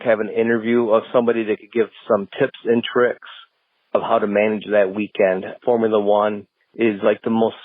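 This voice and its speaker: A man's voice: on the low side, no room sound, phone-quality sound. A man in his forties, calling in with a casual voicemail over the phone. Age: 40s. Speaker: podcast caller.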